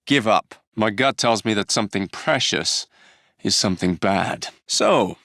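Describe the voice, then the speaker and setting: An actor voicing a cocky, wisecracking young English assassin and gang leader in a video game, flippant and sardonic. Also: male voice, on the low side, lively intonation, a little slow, creaky, fairly bright tone, no room sound, studio quality, exaggerated voice. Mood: brisk, cheeky. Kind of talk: video game character dialogue.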